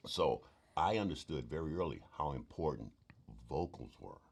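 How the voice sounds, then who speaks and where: A middle-aged man from Arkansas with a very deep gravelly voice, a musician guest telling stories in casual chat. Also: moderate pace. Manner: animated storytelling with sudden loud outbursts.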